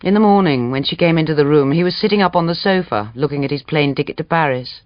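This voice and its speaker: A man reading a literary story aloud as dramatic audiobook narration, measured and expressive. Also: a little high, dry recording, muffled recording. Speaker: audiobook reader.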